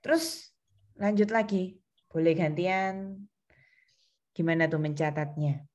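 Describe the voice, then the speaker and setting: A woman's voice: lowish voice, slow, darkish tone. A woman teacher leading an online lesson, prompting students with questions. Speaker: accounting teacher. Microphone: video call.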